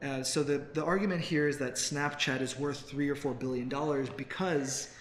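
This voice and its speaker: A young American man explains tech news in a casual, conversational way as a co-host. His voice sits at an average pitch, recorded in a roomy open office with some faint background noise. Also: steady tone, normal speed. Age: 20s. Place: busy open-plan office, seated on a couch.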